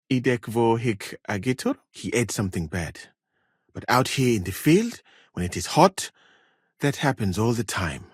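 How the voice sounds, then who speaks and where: A man playing a courteous, learned foreign physician in a medieval video game, speaking in measured, formal phrases with calm thoughtfulness. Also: medium pitch, no room sound, studio quality. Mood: earnest, reflective.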